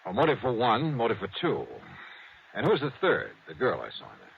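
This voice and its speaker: A man's voice: medium pitch, huge pitch swings, brisk, creaky, husky, heavily acted. An actor playing a wry, world-weary insurance investigator who narrates his case in a 1950s radio detective drama. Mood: probing, dry. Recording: muffled vintage radio recording.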